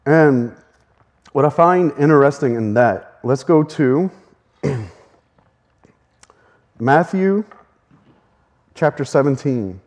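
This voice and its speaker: A man's voice: mid-range voice, lively intonation. A man preaching a sermon earnestly, his voice a little strained, speeding up in bursts. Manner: earnest, with fast bursts and soft laughs. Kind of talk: sermon teaching.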